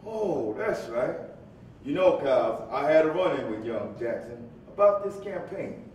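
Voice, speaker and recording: A man's voice: very animated, a little slow, darkish tone. A man in a staged reading playing a playful, teasing and slightly jumpy preacher in bantering dialogue. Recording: echoey hall, distant, faint rumbling noise.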